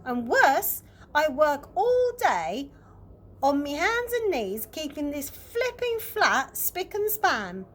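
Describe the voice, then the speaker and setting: A woman's voice: high voice, big pitch swings, slow, fairly bright tone, pitched above the performer's own voice, heavily acted. A woman voicing a scornful, aggressive, nagging stepmother in a children's story. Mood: scornful, complaining. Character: sneering, nagging, bullying stepmother.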